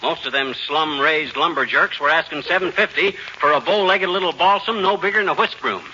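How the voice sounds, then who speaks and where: An actor playing a stubborn, penny-pinching husband, blustery and slangy, in a 1940s radio comedy. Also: male voice, on the high side, fairly quick, pushed and bright. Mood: blustering stubborn. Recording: muffled, faintly noisy 1940s radio recording.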